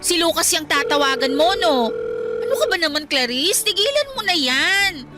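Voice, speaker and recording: A woman's voice: extremely high pitch, lively intonation, normal speed, over loud music. A woman playing a caring, frank young best friend, friendly but chiding, in a radio drama. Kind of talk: Filipino radio drama dialogue.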